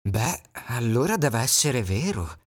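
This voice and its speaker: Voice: medium pitch, big pitch swings, takes it slowly, breathy edge, exaggerated voice. A narrator doing a sly, coaxing fox lady. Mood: friendly. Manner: coaxing, falsely reassuring. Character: sly, smooth-talking female fox.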